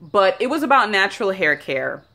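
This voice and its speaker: A woman's voice: mid-range voice, big pitch swings, normal speed, pushed and bright. A woman in her thirties from Jamaica, chatting casually and rambling a little as she films herself on a phone held at arm's length. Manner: chatty and rambling, with many fillers. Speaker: founder of a beauty brand. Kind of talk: casual vlog talk. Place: bathroom by a bright window.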